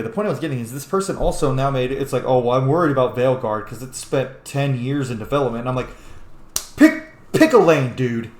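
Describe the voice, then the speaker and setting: A man giving casual gaming commentary in an opinionated, rambling way with plenty of swearing. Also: medium pitch. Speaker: video game streamer. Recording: roomy echo with faint noise.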